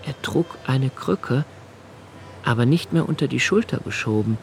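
An actor playing a brooding, anxious man of twenty-eight in a radio play, narrating his notebooks in a measured, reflective way with deliberate pauses. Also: mid-range voice, animated, faint music behind, close to an everyday voice. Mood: pensive, observant. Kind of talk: literary radio play monologue, first-person narration.

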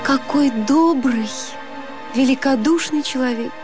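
A woman's voice: high voice, measured pace, over music. An actress playing a wistful married woman in a radio drama, musing tenderly and longingly.

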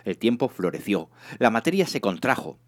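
A man narrating a comic story in a theatrical, expressive scripted reading. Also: lowish voice, normal speed. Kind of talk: dramatised comic audiobook narration.

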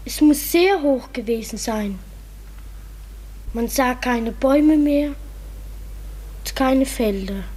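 High, slow, frightened flying creature. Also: female voice, lively intonation, warm tone, husky, full character voice. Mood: fearful, plaintive. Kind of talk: radio play acting.

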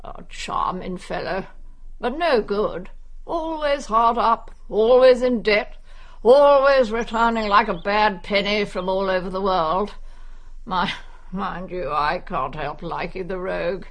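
A woman's voice: mid-range voice, slow pace, poor audio. An actress playing a nosy, brusque older English village woman in a radio drama, gossiping wryly. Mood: wry, confiding.

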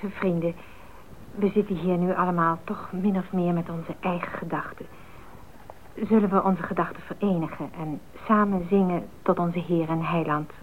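An actress playing a composed, devout woman in a Dutch radio drama, speaking in a calm, measured and earnest way. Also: on the low side, lightly acted. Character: composed, devout woman of a missionary flying group. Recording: muffled, noisy narrowband radio play recording.

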